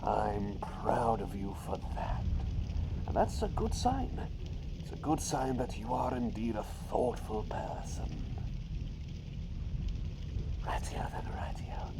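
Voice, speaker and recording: A man playing an eccentric, kindly doctor turned into a talking bird, in a soft, soothing, partly whispered ASMR roleplay with playful, repetitive phrasing. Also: mid-range voice, poor audio. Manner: playful, avuncular British-style phrasing, with drawn-out repetitions.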